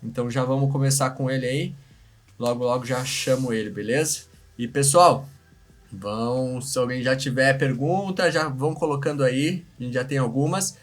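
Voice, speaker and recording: A man's voice: medium pitch, moderate pace. A man with a Brazilian accent hosting a casual livestream chat, informal and slangy, often repeating himself. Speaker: live show host. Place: remote video live stream from separate location.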